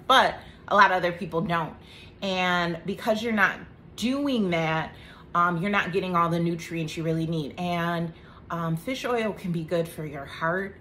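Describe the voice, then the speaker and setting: A woman in her thirties talks to camera about health in a chatty, joking way. Her voice sits a little low. A faint room hum lies under the recording. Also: fairly bright tone. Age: 30s. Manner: chatty, joking asides, faith talk. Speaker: vlogger. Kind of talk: casual health talk to camera.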